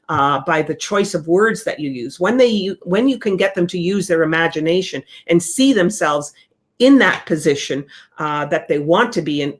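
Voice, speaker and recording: Real estate sales trainer, conversational coaching delivery, repeats key points. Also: mid-range voice.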